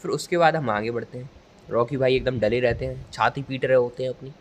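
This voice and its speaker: A man's voice: medium pitch, steady tone, fairly quick. Jokey young man, noisy outdoor recording. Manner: jokey storytelling with mock-formal phrasing. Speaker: vlogger and amateur film reviewer. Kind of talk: casual comic movie review chat. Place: outdoors at a railway station at night. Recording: noisy outdoor ambience on phone.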